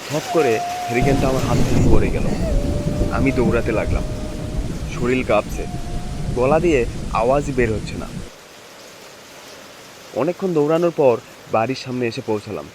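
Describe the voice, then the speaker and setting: Male actor as village husband, mid-pitched, cartoon ghost-story drama, homely storytelling manner, noisy rainy recording. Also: moderate pace, long pauses, close to an everyday voice. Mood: frightened, shaken. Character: frightened, brooding village husband in a ghost tale. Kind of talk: Bengali cartoon story acting. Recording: noisy, rain and ambience behind voice.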